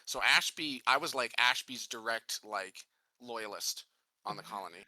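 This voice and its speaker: A man's voice: mid-range voice, normal speed, crowd noise, poor audio. A man chatting casually as a game player, explaining his character in conversational fragments. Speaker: player in a tabletop roleplaying group.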